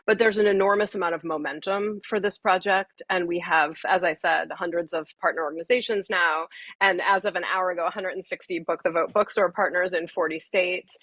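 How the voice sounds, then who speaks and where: A woman with a lowish pitch and a husky edge gives interview answers. She is articulate and earnest, laying out her points in full sentences. The sound is dry and close. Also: fairly bright tone, no echo. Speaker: podcast guest, writer and voting activist.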